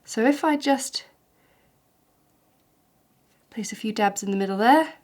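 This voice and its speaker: A woman's voice: on the high side, unhurried. Snuffly woman teaching watercolour. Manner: gentle, chatty, encouraging demonstration. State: snuffly with hay fever. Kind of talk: painting tutorial.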